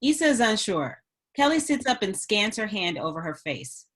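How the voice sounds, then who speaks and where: A woman's voice: medium pitch, big pitch swings, moderate pace, fairly bright tone. A woman narrating stage directions from a script in a scripted reading style.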